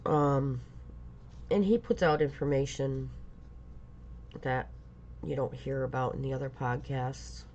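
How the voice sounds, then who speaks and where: A middle-aged woman talking casually in a personal vlog, halting and rambling, stuffy from a lingering cold. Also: rumbling low, steady tone, a little mellow, dull audio, slight background noise. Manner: halting, rambling, many pauses and fillers. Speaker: vlogger.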